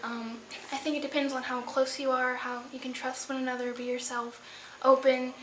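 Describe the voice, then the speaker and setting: A teenage female theater student giving casual, halting, informal answers in an interview. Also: sits high, little pitch movement. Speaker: high school theater student. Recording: echoey room, clearly noisy.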